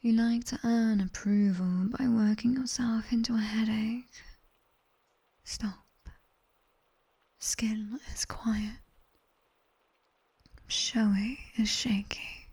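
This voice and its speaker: A British demoness tutor, acted by a woman, whispers very slowly in a breathy, husky hush. Her tone is soft and dark, with a faint crackle of fry. She is sharp-tongued and quietly commanding, giving clipped ASMR sleep instructions close to the mic. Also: fairly high pitch, fairly even intonation, exaggerated voice. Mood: dry, teasing. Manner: slow, clipped instructions with dry, teasing praise. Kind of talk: ASMR roleplay, guided sleep session. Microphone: close binaural microphone.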